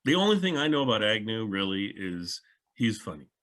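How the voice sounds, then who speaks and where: Male podcast host, lower register, chatty and rambling, informal panel talk. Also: sing-song, a little fast, heavy background noise. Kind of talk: casual panel discussion.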